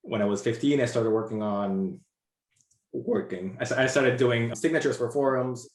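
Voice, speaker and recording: A young man from Argentina, speaking as an interview guest in a relaxed, rambling way with many "like" fillers. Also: medium pitch, normal speed, echoey room. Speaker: interview guest, brand designer. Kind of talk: casual interview conversation.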